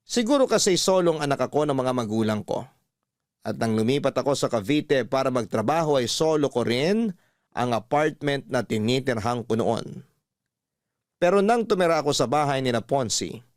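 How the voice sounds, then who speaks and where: Dry, mid-pitched male reader as letter narrator. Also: no room sound, lightly acted. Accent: Filipino. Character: married woman writing in, recounting her life, resigned. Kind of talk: scripted radio drama narration of a letter.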